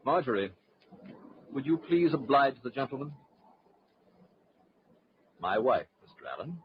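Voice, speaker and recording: An actor playing a suave, icily polite husband with a secret, in formal, measured old-time radio drama style. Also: male voice, mid-range voice, animated, close to an everyday voice. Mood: coolly polite. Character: suave, coldly courteous husband hiding a secret. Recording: muffled, noisy old radio recording.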